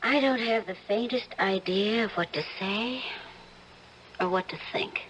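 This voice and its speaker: An actress playing a troubled, gently stubborn and suspicious wife in an old-time radio drama, hesitant and searching. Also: female voice, mid-range voice, unhurried, slight background noise, natural voice, rough recording. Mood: withdrawn, suspicious.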